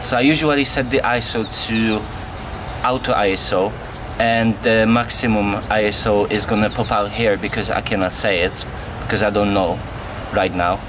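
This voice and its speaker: A man's voice: on the low side, fairly even intonation, moderate pace, noisy background. A young man from Poland with a Polish accent, casually answering viewer questions in a vlog. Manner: plain, earnest, answers viewer questions one by one. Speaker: vlogger. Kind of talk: casual Q&A to camera. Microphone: on-camera mic.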